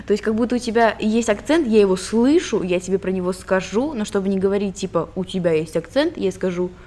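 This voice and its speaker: A young woman from Belarus co-hosting a casual podcast, chatty and quick to laugh, mixing English words into her speech. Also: mid-range voice, animated, warm tone. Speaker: podcast co-host. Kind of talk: casual chat.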